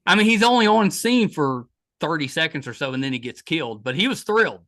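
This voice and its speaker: A man's voice: on the high side, very animated, brisk, pushed and bright. A man hosting a podcast interview, chatty and enthusiastic, often restarting his sentences. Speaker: podcast host. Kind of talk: casual interview chat.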